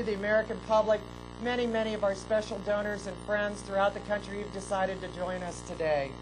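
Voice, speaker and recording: Woman host at an outdoor podium, formal and gracious, mid pitch, noisy open-air PA sound with hum. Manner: measured, gracious, with occasional fillers. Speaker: park superintendent giving ceremony welcome. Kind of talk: formal outdoor ceremony speech. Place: outdoors at a public ceremony. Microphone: podium microphone with PA.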